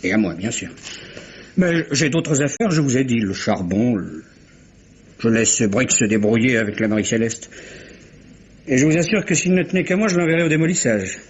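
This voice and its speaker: An actor playing a blustering, evasive shipowner, talkative and defensive, in a vintage French radio mystery. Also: male voice, medium pitch, lively intonation, normal speed, lightly acted. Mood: grumbling, talkative. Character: blustering, evasive American male shipowner under questioning. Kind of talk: 1950s-style French radio mystery acting. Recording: dull vintage radio recording, faint noise.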